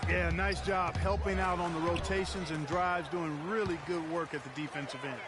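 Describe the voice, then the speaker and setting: A man's voice: high-pitched, fairly even intonation, moderate pace, natural voice. A man voicing a keen, explanatory analyst in a basketball video game. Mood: approving. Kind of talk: sports commentary in a video game.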